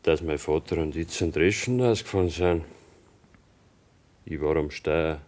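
An actor with a Bavarian accent playing a taciturn young farmer's son in a radio drama, giving terse, evasive answers. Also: male voice, very deep voice, moderate pace, darkish tone. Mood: guarded, stolid. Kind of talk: German radio drama acting.

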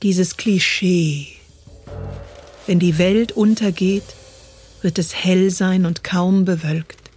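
A woman's voice: fairly low pitch, steady tone, slow pace, pauses often, darkish tone, breathy edge. A woman giving a literary recitation of poetic prose, as a spoken-word performer. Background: music.

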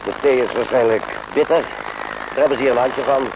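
A man's voice: medium pitch, steady tone, normal speed, natural voice. A man playing a blunt, suspicious sergeant in a radio play, needling and sardonic. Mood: sardonic, probing. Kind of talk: radio drama acting. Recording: noisy old radio play recording.

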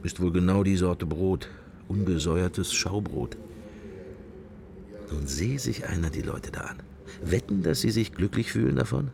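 A deep, gravelly, wry sceptic. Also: male voice, expressive, a little slow, pauses often, noisy background, exaggerated voice. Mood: musing, ironic. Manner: wry, musing, clipped fragments. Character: wry, musing male sceptic, inner thoughts while observing church. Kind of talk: radio drama interior monologue.

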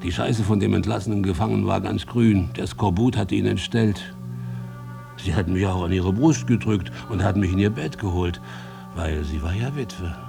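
A man's voice: deep voice, moderate pace, vocal fry, music in the background. An actor playing a grim maimed soldier and former prisoner, telling his tale in a brooding, emphatic radio drama monologue. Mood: grim, matter-of-fact. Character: maimed soldier, ex-prisoner, grimly mourning his lost hand.

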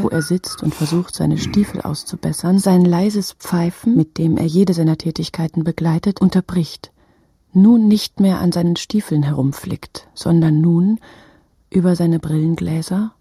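A woman narrating a literary radio drama in a husky, breathy voice, with halting, fragmented phrasing. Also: fairly low pitch, noisy background.

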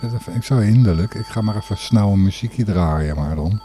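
A man's voice: fairly low pitch, expressive, a little fast, dark tone, soft background music. A man hosting a podcast in rambling, casual solo talk, hesitant and a little distracted. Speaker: podcast host.

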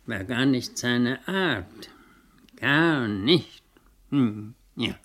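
An actor playing an elderly, pedantic Scottish solicitor in a radio play, speaking slowly and precisely with dry hesitations and a husky voice. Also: male voice, mid-range voice, lively intonation, fairly bright tone, heavily acted. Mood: musing, amused. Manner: slow, precise, hesitant murmurs. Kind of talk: German radio play acting.

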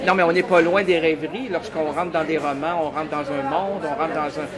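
A man's voice: fairly high pitch, fairly even intonation, fairly quick. Male interviewer, Québécois accent, informal chat, recorded in a very crowded, noisy café. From Quebec. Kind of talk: casual chat. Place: busy café or bar. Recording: busy public place with loud crowd chatter.

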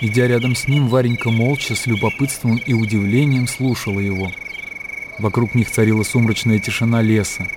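A man's voice: medium pitch, fairly even intonation, fairly quick. A man reading scripted literary narration as the narrator of a radio drama. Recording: clear outdoor ambience noise behind.

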